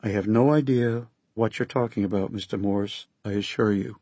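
A composed, courteous male showman, as a man reads him in his own voice, speaks in short, restrained phrases. The voice is a touch husky, mid-pitched, and heard close and dry. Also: fairly even intonation, normal speed, mellow, no room sound. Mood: curt.

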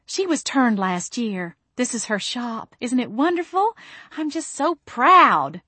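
A woman's voice: fairly high pitch, sing-song, takes it slowly, sharp tone, slightly rough, no room sound, pitched above the performer's own voice, heavily acted. A woman voicing an overbearing middle-aged mother who coos and gushes over her daughter in a storybook reading. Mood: gushing. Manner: cooing, effusive, meddling.

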